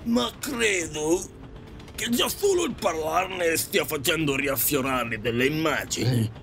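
A man's voice: on the high side, lively intonation, gravelly, fairly bright tone, faint music behind, exaggerated voice. An actor playing a jolly, sardonic ferryman of the dead who teases passengers in an audio drama. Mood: sly, knowing. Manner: teasing, theatrical, drawn-out.

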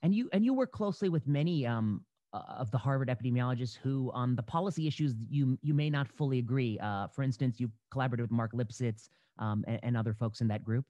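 A man's voice: mid-range voice, fast, mellow, no echo. Man on a dull, dry video call. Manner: thoughtful, hesitant, many fillers and restarts. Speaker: podcast host. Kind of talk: conversational interview. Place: home room. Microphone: headset mic on a video call.

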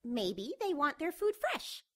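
A woman's voice: high-pitched, big pitch swings, slow pace, full character voice. An actress playing a feisty, squeamish, doubting woman in a radio drama. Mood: skeptical.

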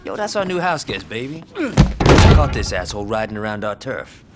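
Cocky game gangster, really low voice, swings up and down, cusses in street slang. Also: male voice, very animated, normal speed, heavy background noise. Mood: menacing. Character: swaggering, trigger-happy male gang lieutenant. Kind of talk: video game cutscene acting.

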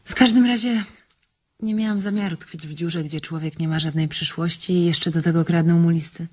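Woman playing husky, lonely young farm wife. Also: fairly low pitch, steady tone, normal speed, darkish tone, lightly acted. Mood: wistful, bitter. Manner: colloquial, coaxing, self-pitying. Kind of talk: radio drama acting.

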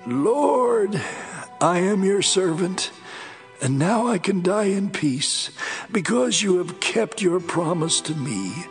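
An actor playing a devout, moved elderly prophet in a Bible audio drama, fervent and solemn. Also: male voice, high-pitched, expressive, soft background music, full character voice. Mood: reverent joy. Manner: slow, fervent, declamatory.

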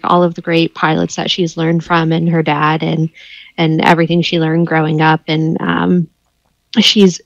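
A woman's voice: on the low side, no echo, rough recording. A woman playing a tabletop role-play, describing her character's actions hesitantly. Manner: hesitant, with fillers. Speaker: player.

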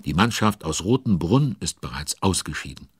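A man's voice: deep voice, very animated, normal speed, slightly rough, no echo, studio quality, heavily acted. A man playing a chatty, nosy storyteller, narrating a children's audio drama and speaking directly to the listeners. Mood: storytelling. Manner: conversational, addresses the listeners.

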